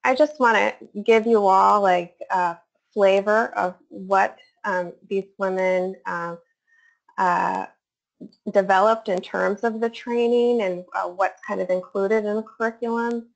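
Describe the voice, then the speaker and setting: A woman's voice: medium pitch, steady tone, a little slow, vocal fry. A woman researcher giving a webinar presentation, hesitant, with many fillers and restarts. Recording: dull lo-fi webinar audio.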